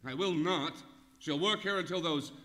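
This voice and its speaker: A man plays a fussy, irate store manager in a fairly high, sharp voice. He scolds and blusters in old-time radio style, echoing in a hall. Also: moderate pace. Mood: exasperated. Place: live performance in a museum hall. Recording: echoey hall, live stage recording.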